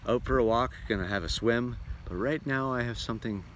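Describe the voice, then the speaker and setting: A man who entertains as a musician, talking in an animated, folksy storytelling way in a casual travel vlog. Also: mid-range voice, brisk, a little hiss or hum. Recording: roomy echo, prominent acoustic guitar music.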